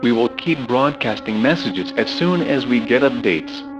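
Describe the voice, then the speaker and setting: A man reading a formal emergency alert announcement in an even, scripted way. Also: medium pitch, unhurried, over music. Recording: dull lo-fi broadcast audio.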